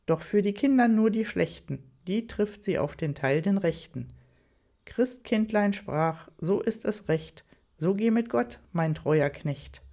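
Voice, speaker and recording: A narrator voicing a cheerful male colleague who recites a Christmas poem slowly and evenly. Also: sits high, expressive, gravelly, darkish tone, exaggerated voice. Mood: reciting.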